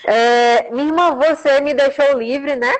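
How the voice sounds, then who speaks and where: A woman's voice: sits high, fairly even intonation, a little slow. A woman with a strong accent from the rural interior of Paraíba in northeastern Brazil, preaching devotionally and reverently on a livestream as a guest. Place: remote social media livestream.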